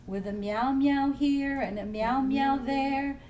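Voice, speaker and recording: A woman children's librarian leading a family storytime, singing nursery songs in English and simple Spanish and gently encouraging young children to join in. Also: high voice, takes it slowly, muffled recording, slight background noise. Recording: somewhat distant from the mic, faint music.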